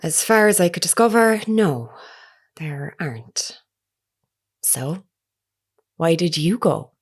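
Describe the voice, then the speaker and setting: A woman reporter with a slightly low voice. She is earnest and persistent, speaking in a formal, measured, insistent way, as in a natural audio drama. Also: lively intonation, husky, exaggerated voice. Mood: probing.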